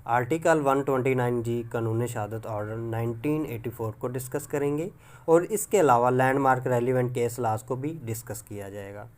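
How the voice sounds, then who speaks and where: A man with a Pakistani accent reads a scripted legal lecture as a teacher, in a formal, measured manner, mixing in English legal terms. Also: medium pitch, a little mellow. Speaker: law lecturer.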